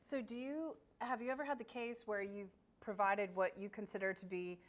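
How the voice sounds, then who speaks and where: A woman's voice: medium pitch, steady tone, moderate pace. A woman moderating a panel discussion, asking a follow-up question and handing the mic to audience members with short, friendly prompts. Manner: brief, friendly prompts between speakers.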